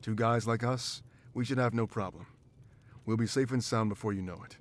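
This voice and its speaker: An actor playing a protective, pragmatic bodyguard in a visual novel game, speaking in an earnest, measured, commanding way. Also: male voice, mid-range voice, a little hiss or hum, close to an everyday voice. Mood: reassuring. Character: male protective bodyguard, firm, pragmatic, calm under danger.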